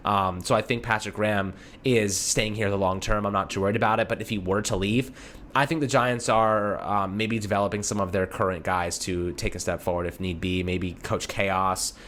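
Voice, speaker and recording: A man's voice: on the low side, fairly even intonation, normal speed, fairly bright tone, slight background noise. A man hosting a sports show, giving casual fan commentary in an informal, conversational way, talking to callers like friends.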